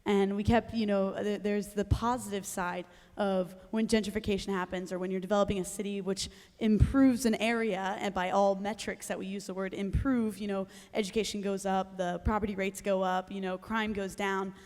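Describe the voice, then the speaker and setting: A woman from the audience reporting back her table's discussion, quick and casual with fillers. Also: medium pitch, flat delivery, a little mellow. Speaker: audience participant. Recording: long reverb of a large hall.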